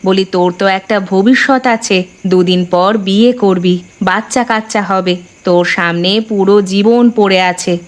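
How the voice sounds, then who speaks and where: A woman voicing a doting, sharp-tongued village mother in a Bengali cartoon, with an expressive, folksy, teasing manner. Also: mid-range voice, normal speed, close to an everyday voice. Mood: fond nagging. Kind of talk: Bengali animated moral-tale voice acting. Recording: music bed behind the voice.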